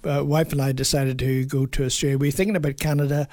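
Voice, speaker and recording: A man's voice: mid-range voice, little pitch movement, fast talker, darkish tone, dry recording. An older man with a Northern Irish accent, telling his life story conversationally as an interview guest. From Belfast, Northern Ireland.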